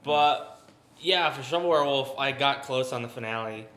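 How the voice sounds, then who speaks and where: Bright-voiced man, easygoing talker. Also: medium pitch, normal speed. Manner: easygoing, animated when telling stories. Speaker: voice actor on the show. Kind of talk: casual group chat. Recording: echoey room.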